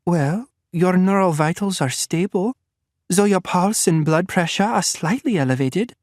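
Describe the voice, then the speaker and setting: A man voicing a calm, clinical woman scientist with a slight French accent in an audiobook. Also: fairly low pitch, unhurried, no room sound, studio quality, pitched above the performer's own voice.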